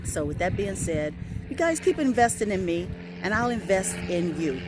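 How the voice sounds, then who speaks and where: A middle-aged woman speaks into her phone outdoors, earnest and heartfelt, sometimes hunting for the right word. Her voice sits at an ordinary pitch and she sniffles now and then. A light outdoor hiss runs underneath. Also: animated, moderate pace. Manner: earnest, heartfelt, searching for words. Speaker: vlogger. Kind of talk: reflective personal vlog. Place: outdoors, airplane passing overhead.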